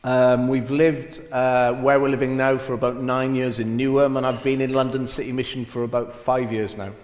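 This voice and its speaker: A man's voice: medium pitch, little pitch movement, normal speed, darkish tone. A middle-aged man from Wales with a mixed Welsh and Cockney accent, a mission field director chatting in a self-deprecating way with many fillers during a church interview. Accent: Welsh with Cockney mix. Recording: echoey church hall, long reverb.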